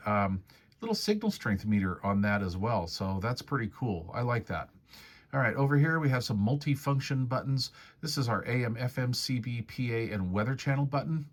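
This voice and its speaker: A man giving a casual, hands-on product review walkthrough. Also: lowish voice.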